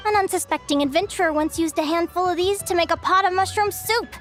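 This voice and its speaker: A woman voicing a young adventure advisor running a quiz, upbeat and teasing like a lively teacher, in video game dialogue. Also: very high voice, fast talker. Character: young female adventure advisor, bright and playful quizmaster. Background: game music.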